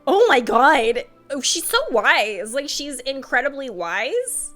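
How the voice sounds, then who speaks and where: A woman streamer reacting live to a game in a casual, expressive, excitable way, often repeating words. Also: sits high, slow, soft background music.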